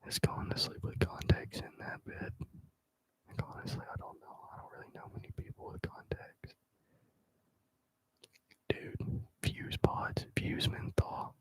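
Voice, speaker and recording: A man's voice: unhurried, long pauses, dry recording, poor audio. A man whispering into a microphone in a casual, rambling, slangy way, as an ASMR live streamer chatting with viewers. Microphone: close microphone.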